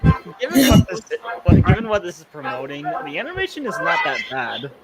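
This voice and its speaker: A man in casual chat while commenting on a game, talking in an animated way with halting, repeated starts. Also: fairly high pitch, heavy background noise. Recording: loud background music.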